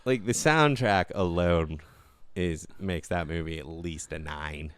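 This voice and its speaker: A young man with a fairly low voice talks casually as a podcast co-host. He is blunt and slangy, and the sound is dry, with very little room. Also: takes it slowly, clear, resonant tone, no room sound. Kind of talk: casual gaming chat.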